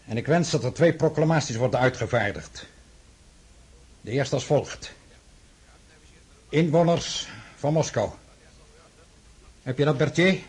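An actor playing an imperious, overconfident French emperor in a radio drama, brusque and commanding. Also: male voice, mid-range voice, lively intonation, a little slow, long pauses, a little mellow, slightly rough. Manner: brusque, commanding, self-assured.

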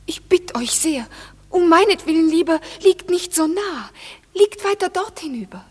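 A woman playing a modest, affectionate young maiden in a classic radio play, speaking gentle verse. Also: extremely high pitch, expressive. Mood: coaxing, tender. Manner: gentle, deliberate verse delivery.

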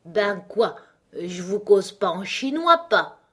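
A woman performs an ancient, grumbling storybook frog. The voice is husky and hoarse, dragging through rustic phrases in a slow drawl. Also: medium pitch, very animated, a bit bright, full character voice. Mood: puzzled, grumbling.

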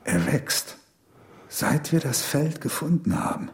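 An actor in a German radio drama playing a grave older researcher who explains things in a halting, didactic manner. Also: male voice, a little high, very animated, full character voice. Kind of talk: German radio drama acting, measured dialogue.

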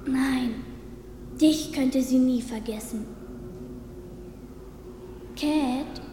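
A performer voicing a gentle, lonely little boy ghost, hesitant and wistful, in a German radio play. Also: sits high, drawn-out words. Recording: echoey hall reverb, faint noise.

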